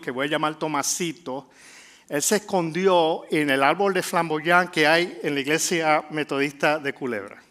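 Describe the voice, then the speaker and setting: Puerto rican pastor guy preaching, kinda high voice, lots of eh pauses, chatty. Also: fairly bright tone. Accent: Puerto Rican Spanish. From Puerto Rico. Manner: conversational, many filler "eh" pauses. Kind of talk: sermon.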